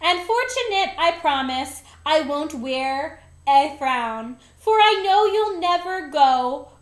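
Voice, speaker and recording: A woman's voice: high-pitched, lively intonation, slow pace, echoey room. A woman voicing a fretful older male school principal in a children's storybook, announcing things with dramatic emphasis. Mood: proud, relieved. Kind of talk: children's storybook read-aloud.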